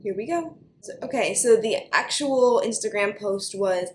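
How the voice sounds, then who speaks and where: A young woman with a New York accent, ranting to camera for her vlog in an animated, chatty way with plenty of "like". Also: mid-range voice, roomy sound, a little hiss or hum. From United States. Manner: animated, fast, chatty with many likes. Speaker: beauty vlogger.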